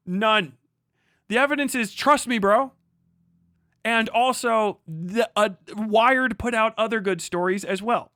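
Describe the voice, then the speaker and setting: A man's voice: very high voice, pushed and bright, no room sound, clean studio sound. A man doing heated live reaction commentary as a stream host, profane, combative and mocking. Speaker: livestream host reacting to a clip.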